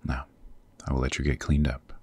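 An extremely low, husky demon king, acted by a man for an ASMR roleplay. He is commanding yet tender, protective and possessive, speaking formally and up close in feel. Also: flat delivery, moderate pace, no echo. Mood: commanding, caring. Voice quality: husky, very deep. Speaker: voice actor.

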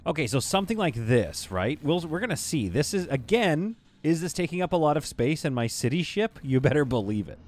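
Playful Canadian streamer with a lively voice. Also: male voice, on the high side, fairly quick, few pauses. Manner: playful, jokey, lively, swings between loud bursts and quiet asides. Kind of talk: casual livestream chat while building in a game. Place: home room with a green screen. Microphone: studio mic on a boom arm.

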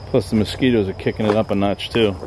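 A man hushed and uneasy, talking quietly to his viewers while alone in dark woods at night, recorded on a handheld camera mic. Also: lowish voice, steady tone, fast, noisy background. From Florida. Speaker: paranormal explorer vlogger. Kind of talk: hushed solo night exploration vlog. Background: crickets and insects at night.

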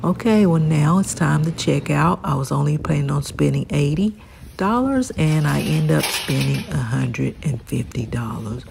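A woman's voice: low-pitched, moderate pace, vocal fry. A woman vlogging her grocery run in a supermarket, talking casually with frequent ums as she films on her phone. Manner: relaxed, chatty, frequent ums. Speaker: vlogger, mother doing grocery shopping. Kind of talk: casual first-person narration while shopping. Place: supermarket aisles, indoors. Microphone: phone camera held while pushing a cart. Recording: noisy store ambience.

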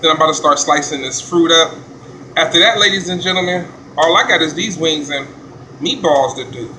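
A man, a chef filming a casual vlog in a commercial kitchen, talking in relaxed slang. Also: fairly high pitch, brisk, slight background noise, rough recording. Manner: laid-back slangy hype talk. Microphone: handheld phone camera.

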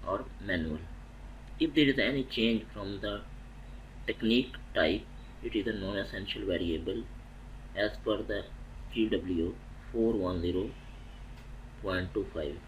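A man narrating a technical lecture over slides, methodical and halting, restarting phrases mid-sentence. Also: deep voice, a little hiss or hum, low-quality recording. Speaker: welding inspection instructor.

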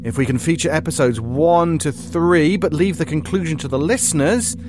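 A man's voice: fairly high pitch, moderate pace, music in the background, natural voice. A man playing an earnest, wry co-host of an audio drama show, formal and wordy in scripted banter.

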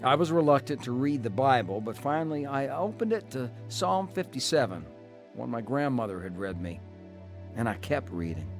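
Faint music sits behind a troubled, plain-spoken husband. His medium-pitched voice is earnest, with a stubborn streak, in the style of a radio drama. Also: big pitch swings, moderate pace. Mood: earnest recounting. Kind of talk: radio drama narration and dialogue.

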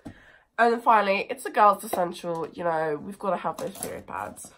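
A teenage girl from England with a cold and a croaky voice, chatting casually and with a self-mocking liveliness to a phone camera in her bedroom. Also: medium pitch, moderate pace, fairly bright tone, a little hiss or hum, rough recording. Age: teens. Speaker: vlogger. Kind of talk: casual chat. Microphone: phone camera at desk distance.

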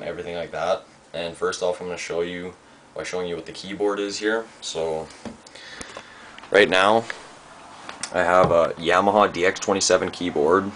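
A young man giving a casual home tutorial in a laid-back, halting way with lots of filler, recorded on a camera's built-in microphone in a small room. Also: deep voice, a bit bright. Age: young adult. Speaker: amateur musician demonstrating gear. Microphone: handheld camera's built-in microphone. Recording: noisy amateur camera microphone.

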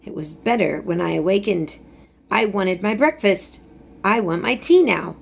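A woman's voice: medium pitch, animated, a little slow, gravelly, husky, full character voice. A woman voicing an imperious, self-assured sickly ten-year-old boy in a storybook, giving orders in a commanding, unbothered way. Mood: insistent.

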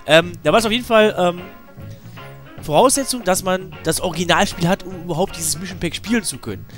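A man's voice: sits high, fairly quick, fairly bright tone. A man with a slight cold giving rambling, informal, slangy let's play commentary. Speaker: let's player. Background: video game music.

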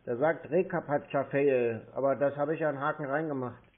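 A man's voice: medium pitch, brisk. A man chatting casually on the phone in a matter-of-fact, slightly hesitant, colloquial way. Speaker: scam-baiting call recipient posing as customer. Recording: tinny band-limited phone call.

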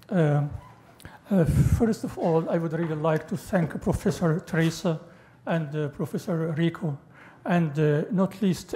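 A middle-aged man whose first language is Arabic delivers an academic talk from a panel table in a big lecture hall, speaking into a table microphone. His voice is mellow and soft-edged. He stumbles through fillers and repeated starts and slips in dry joking asides. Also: high-pitched. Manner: halting, many fillers and restarts, joking asides. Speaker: guest lecturer.

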